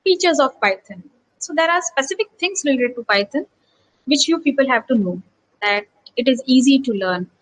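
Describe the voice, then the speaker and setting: A woman's voice: sits high, expressive, moderate pace, a bit bright, rough recording. A woman from Gujarat, an associate professor giving an online workshop lecture and asking her listeners rhetorical questions. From Gujarat, India. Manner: earnest teacherly tone with rhetorical questions.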